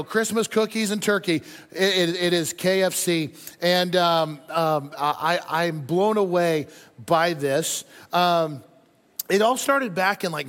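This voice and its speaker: A man's voice: high-pitched, a bit bright. A man preaching as a pastor in an informal, conversational way, often repeating phrases for emphasis. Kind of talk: conversational sermon to a congregation. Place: large indoor church hall. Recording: echoey hall with long reverb.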